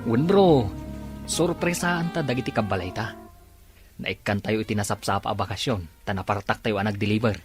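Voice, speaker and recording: A man's voice: lowish voice, fairly quick, soft background music, lightly acted. An actor with a Filipino accent playing a chummy, teasing young delivery man in a radio drama, bantering and exclamatory. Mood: cheerful.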